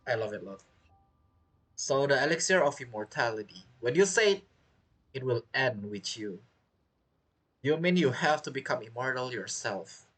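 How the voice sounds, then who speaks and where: Animated, chatty male game streamer. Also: mid-range voice, moderate pace, long pauses, slightly rough. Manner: chatty, informal, reacting as he plays. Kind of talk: casual gameplay commentary. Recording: game music behind voice.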